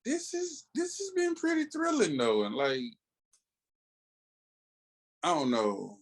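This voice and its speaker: A man with an American accent chatting casually as a podcast co-host, telling things in a slangy, animated, halting way. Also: sits high. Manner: slangy, animated storytelling with many filler pauses. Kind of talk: casual chat.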